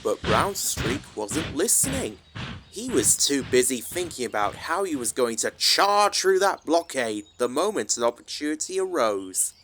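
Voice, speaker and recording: A man's voice: medium pitch, very animated, measured pace, a bit bright, a little hiss or hum. A man reading a scripted children's story as a narrator, with music behind him. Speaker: narrator of a fan dub.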